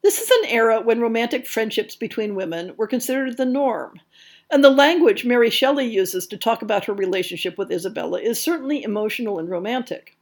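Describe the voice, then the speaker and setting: Female podcast narrator for history essays: highish pitch, strongly varied melody, articulate storytelling with a wry touch. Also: expressive, fairly quick. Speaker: podcast host.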